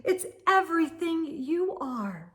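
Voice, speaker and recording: Woman playing a doting mother, high-pitched. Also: very animated, slow, a bit bright, full character voice. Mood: reassuring. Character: doting, reassuring storybook mother.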